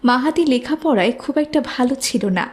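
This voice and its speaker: A woman's voice: high voice, lively intonation, moderate pace, darkish tone. A woman narrating a scripted moral story for children.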